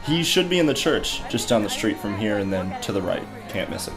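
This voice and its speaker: A man playing an unsure, eager-to-please town guard in a fantasy audio drama, speaking haltingly and deferentially. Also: fairly low pitch, big pitch swings, music in the background. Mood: apologetic.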